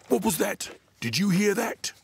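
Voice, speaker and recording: Wildly swooping, slow-witted cartoon elephant. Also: female voice, mid-range voice, vocal fry, noisy background, heavily acted. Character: good-natured, slow-witted big elephant. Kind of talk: children's cartoon acting. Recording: loud music behind the voice.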